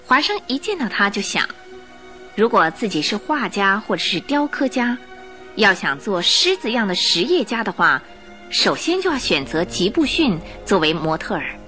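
A woman's voice: medium pitch, sing-song, moderate pace, bright, forceful tone, faint music behind. A woman narrating a radio drama from a script in a dramatic storytelling style.

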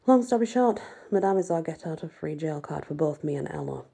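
A woman's voice: deep voice, lively intonation, a little fast, creaky, dark tone, slightly rough. A woman reading a first-person novel aloud as scripted audiobook narration. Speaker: audiobook reader.

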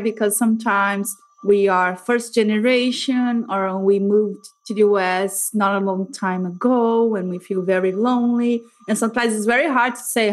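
A woman's voice: a little high, rough recording. Woman from Brazil, empathetic podcast host. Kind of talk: casual interview conversation.

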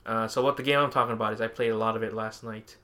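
A man's voice: on the low side, steady tone, racing, pushed and bright. A man co-hosting a podcast, chatting casually about video games and often chuckling as he talks. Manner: chuckles often while talking. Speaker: podcast co-host.

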